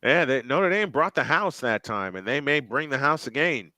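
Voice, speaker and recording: A man calling a football game play by play, getting excitable and jumping up on big plays, and trading banter with co-hosts. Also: mid-range voice, normal speed, no room sound. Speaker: play-by-play commentator. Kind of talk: live game commentary with banter.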